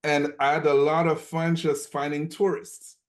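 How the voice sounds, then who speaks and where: A man's voice: on the high side, little pitch movement, moderate pace. A young man from Cameroon sharing stories on a group coaching call, emphatic and repetitive, often checking in with "okay?". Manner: emphatic, repeats points, frequent "okay?" check-ins.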